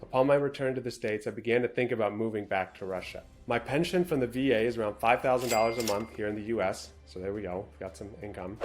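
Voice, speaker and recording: This is a man co-hosting a relaxed talk show, running through a listener's letter at a fast clip. His voice sits a bit low, and he talks in a brisk, matter-of-fact way. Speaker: co-host. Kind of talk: casual podcast chat, reading a viewer letter aloud.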